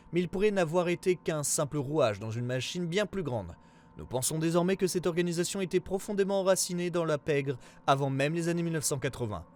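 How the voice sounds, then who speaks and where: A man reading a scripted investigative report as narrator, in a formal, measured way. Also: a little high.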